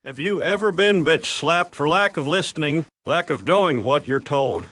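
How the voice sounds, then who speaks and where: A man's voice: on the high side, expressive, normal speed, fairly bright tone, soft background music. A man voicing a patient, preachy frog mentor who lectures and explains in an amateur cartoon comic dub. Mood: stern, lecturing.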